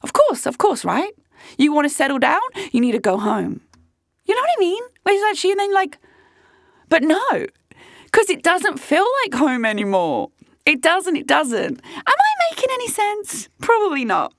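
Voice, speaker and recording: A woman in her late thirties hosting her own podcast, talking candidly and emphatically in a rambling way, her voice hoarse. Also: sits high, wildly swooping pitch, normal speed, no room sound, clean studio sound. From United Kingdom. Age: late 30s. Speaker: podcast host. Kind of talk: casual monologue. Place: podcast studio.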